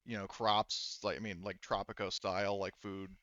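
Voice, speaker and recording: A man speaking casually as game master, repeating himself and feeling his way through explanations in a halting manner. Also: on the low side, level tone, sharp tone, no echo, dull audio. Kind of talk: running a tabletop game, casual talk.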